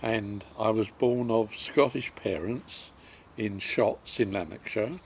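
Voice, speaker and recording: A man, recalling his life story in a halting way with many pauses and ums. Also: lowish voice, slight background noise. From England. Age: 90s. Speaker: interviewee.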